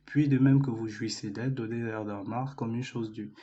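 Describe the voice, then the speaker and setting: Man, medium pitch, casual debate, reading and explaining, slightly dull recording. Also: steady tone, a little fast, mellow, a little hiss or hum. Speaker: debate participant.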